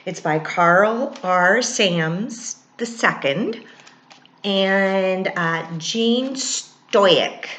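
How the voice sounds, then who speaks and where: Female children's story reader, teacherly, unhurried and bright, with roomy sound. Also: medium pitch, animated. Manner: teacherly, pausing to explain words and ideas. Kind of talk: read-aloud storytelling with informal explanations to children.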